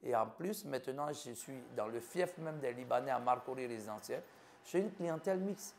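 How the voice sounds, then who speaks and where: A man's voice: mid-range voice, animated, moderate pace, darkish tone, slight background noise. A man in his fifties from Côte d'Ivoire with an Ivorian French accent, giving an earnest personal account in an interview and speaking in short listed phrases. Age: 50s. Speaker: doctor interviewed about his life.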